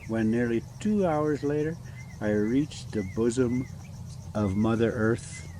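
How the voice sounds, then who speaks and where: A man reading a classic adventure novel aloud as an amateur storyteller, in a halting, phrase-by-phrase way. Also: medium pitch. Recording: steady background noise.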